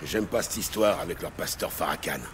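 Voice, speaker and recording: An actor playing a gruff, bossy, streetwise corrupt police lieutenant in an audio drama. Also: male voice, sits low, brisk, natural voice. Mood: wary, brusque. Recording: faint city street and vehicle noise.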